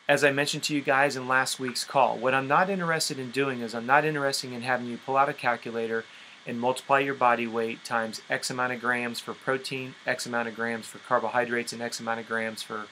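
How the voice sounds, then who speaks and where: A man's voice: medium pitch, pushed and bright, a little hiss or hum, poor audio. A man giving an instructional coaching talk as a sports coach, direct and emphatic, explaining things with rhetorical questions. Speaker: endurance sports coach leading a conference call.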